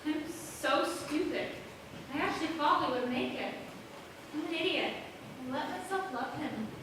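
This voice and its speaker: A young female student actor playing a heartbroken, self-blaming high school girl on stage, in an echoey, noisy recording. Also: expressive, moderate pace, distant mic. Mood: bitter, heartbroken. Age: teens or young adult. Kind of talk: school stage play acting.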